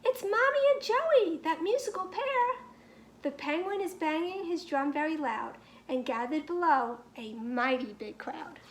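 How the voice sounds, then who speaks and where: A woman reading a children's picture book aloud in an animated, emphatic storytelling manner with deliberate phrasing, like a teacher. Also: sits high, slight background noise.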